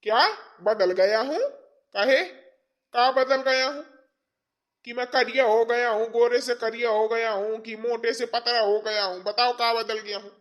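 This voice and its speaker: A man's voice: very high voice, steady tone, unhurried, a bit bright. A man playing a middle-aged father-in-law in a domestic skit, blunt and colloquial. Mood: irritated, scolding. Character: irritable father-in-law, gruff with wife, sweet on daughter-in-law.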